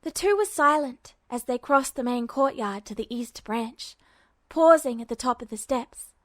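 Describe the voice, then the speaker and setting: A woman reading a fan fiction audiobook with steady, even storytelling. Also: high voice, normal speed, dry recording. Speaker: podfic reader.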